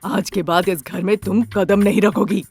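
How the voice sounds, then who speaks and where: A woman's voice: medium pitch, fairly even intonation, normal speed, a little mellow, husky, soft background music. A woman voicing a stern older mother-in-law who talks bluntly and suspiciously in a story. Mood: angry scolding. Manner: scolding, blunt.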